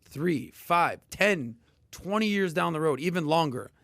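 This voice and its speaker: Animated man hosting a podcast. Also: measured pace, creaky, no room sound, studio quality. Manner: emphatic and animated, with sudden loud high bursts. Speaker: podcast host. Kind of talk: casual podcast chat explaining investing.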